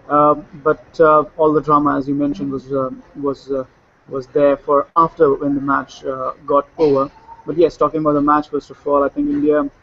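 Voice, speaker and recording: A man's voice: a little high, vocal fry, lo-fi sound. A man talking through a cricket match in a casual discussion, fluent but with many fillers and restarts. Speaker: cricket analyst. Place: Sydney, remote link.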